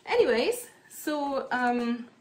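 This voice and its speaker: A woman's voice: high voice, sing-song, takes it slowly, darkish tone, slight background noise. A woman from Germany with a German accent, chatting casually about her plants as she works on them at home, with lots of ums and "kinda". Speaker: plant care vlogger. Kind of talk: casual chat while doing plant chores.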